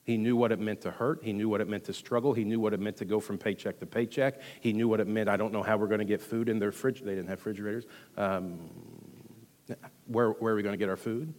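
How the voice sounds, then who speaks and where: A man with a Texan accent preaching a sermon as pastor, rushing through some lines and dropping to hushed asides between louder, emphatic ones. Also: fairly low pitch. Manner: animated preaching, swings from loud emphasis to hushed asides, quick delivery. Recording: long hall reverb.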